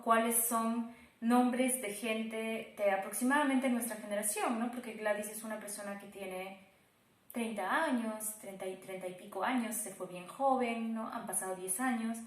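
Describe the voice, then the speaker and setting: A woman explaining a creative project conversationally, thoughtful and often correcting herself as she goes. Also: a little high. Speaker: production team member. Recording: echoey room.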